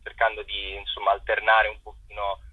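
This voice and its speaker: Husky low-voiced man on a muffled line. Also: completely flat delivery, fairly quick, no room sound. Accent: Roman Italian. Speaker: theatre director.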